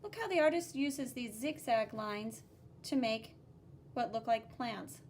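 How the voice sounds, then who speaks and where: A woman with a very high voice reads a picture book aloud for children as an art teacher. She takes her time, stressing key words in a sing-song way and explaining the drawings simply. There is faint noise behind her. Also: lively intonation, unhurried, darkish tone. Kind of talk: expressive read-aloud with drawing commentary for children.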